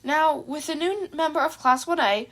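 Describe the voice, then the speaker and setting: High-pitched woman narrating a story. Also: normal speed, a bit bright. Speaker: amateur audiobook narrator.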